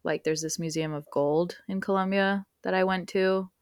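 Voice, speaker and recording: Young woman guest on a podcast, low voice, dry close sound, keeps saying like. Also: fairly even intonation, moderate pace, clean tone, no room sound. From United States. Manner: rambling, uses many fillers and "like". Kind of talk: casual conversational interview.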